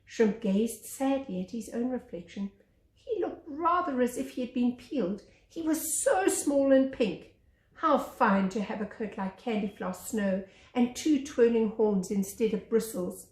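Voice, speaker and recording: A woman reading a children's story aloud as a teacher, with expressive narration. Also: fairly high pitch, unhurried. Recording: echoey room.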